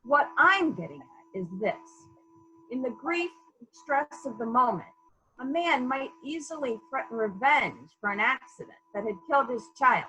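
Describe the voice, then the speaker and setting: Woman playing a bright, probing police investigator. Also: high-pitched, animated, poor audio. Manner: measured, polite but probing, formal. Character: calm, persistent female police investigator questioning witnesses. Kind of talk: dramatic reading of a murder mystery.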